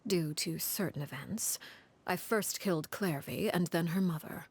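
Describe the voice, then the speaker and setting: A woman voicing a cold, composed female leader in a video game, telling a grim story in a measured, quiet, detached way. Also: on the low side, breathy edge.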